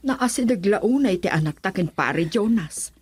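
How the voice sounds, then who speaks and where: A woman's voice: medium pitch, expressive, measured pace, darkish tone, soft background music, close to an everyday voice. A woman acting a watchful, questioning middle-aged mother in a radio drama, with a Filipino accent.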